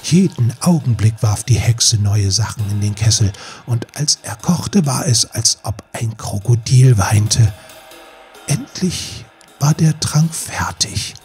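A man with a breathy, husky voice giving a measured, solemn narration of a fairy tale for an audiobook. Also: medium pitch, expressive, darkish tone, faint music behind.

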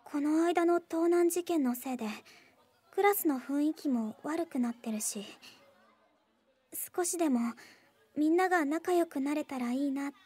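This is a gentle anime game schoolgirl, high in pitch and slow in pace. She speaks softly and earnestly, with a friendly hesitance. The voice is recorded dry and close. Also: dry recording. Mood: hesitant, hopeful. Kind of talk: Japanese anime game voice acting.

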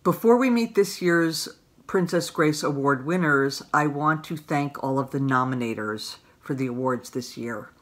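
A woman's voice: low-pitched, fairly bright tone. Grateful woman presenting awards. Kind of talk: scripted presentation to camera. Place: small room indoors.

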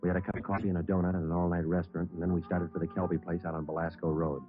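An American actor playing a terse, matter-of-fact police detective who also narrates, in 1950s radio drama style. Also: male voice, low voice, rapid-fire, close to an everyday voice. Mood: deadpan narrating. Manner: deadpan, clipped, factual. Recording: narrow-band vintage radio, music behind.

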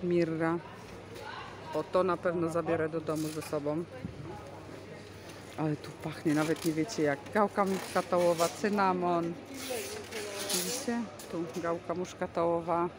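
A woman's voice: lowish voice, steady tone, measured pace, pauses often. A woman hosting a casual vlog, chatting enthusiastically and explaining goods as she films with a handheld phone in a large covered market hall. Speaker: vlog host. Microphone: handheld phone camera, speaker behind it. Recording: busy market crowd noise. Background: bustling market crowd.

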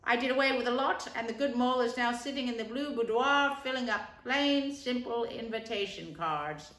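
A woman's voice: on the high side, moderate pace, a bit bright, pitched above the performer's own voice, natural voice. A woman voicing a firm, kindly male water rat who speaks in an earnest way. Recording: roomy echo.

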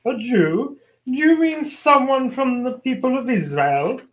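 A man playing a scheming, vengeful royal official in an old-time radio show, theatrical and halting. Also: very high-pitched, lively intonation. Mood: suspicious. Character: vengeful scheming male royal official, imperious, obsequious to the king.

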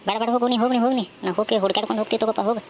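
A woman's voice: fairly high pitch, fairly even intonation, very fast, heavy background noise, natural voice. A woman voicing a timid, easily frightened young male traveller in an amateur cartoon, with an anxious manner and a North Karnataka Kannada accent. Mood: scared.

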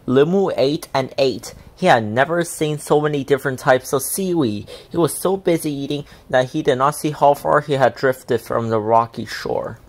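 A woman reading a children's picture book aloud as an expressive storyteller. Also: very deep voice, moderate pace, few pauses. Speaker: storybook reader.

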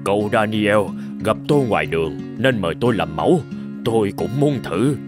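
Casting: male actor as meek old cartoon beggar, low and subdued, music behind. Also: expressive, moderate pace, natural voice. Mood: humble, subdued. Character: humble old male beggar posing as painter's model. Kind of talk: dubbed cartoon drama.

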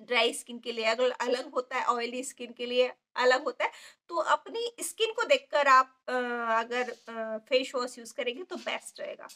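A woman's voice: on the high side, animated, normal speed, hardly any pauses, bright, forceful tone. Chatty woman in her forties with a sore throat. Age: 40s. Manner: chatty and instructive, many fillers, frequent check-ins to viewers. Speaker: makeup artist. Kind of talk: casual beauty tips vlog. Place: indoor beauty parlour with ceiling fan. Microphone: phone camera held close.

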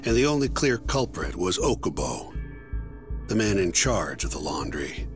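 An actor playing a jaded, exasperated middle-aged lawyer in a video game, blunt and world-weary in manner. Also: male voice, low-pitched, sing-song, normal speed. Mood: matter-of-fact. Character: jaded, exasperated older male defense lawyer. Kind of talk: video game cutscene acting. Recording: echoey hall reverb, music behind.

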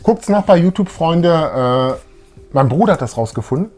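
A man's voice: a little high, animated, moderate pace, a little mellow, soft background music. A man, chatting casually as co-host of a fan show. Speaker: YouTube co-host. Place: small room full of collectibles, indoors.

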